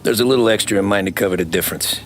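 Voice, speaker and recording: Actor as deep-voiced, brooding American gangster. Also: male voice, racing, lightly acted. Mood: businesslike, respectful. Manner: tough, plain-spoken, profane. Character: hardened male mob gangster, brooding ex-cab driver. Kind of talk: cinematic game acting, confessional crime narration. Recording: loud music behind the voice. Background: music.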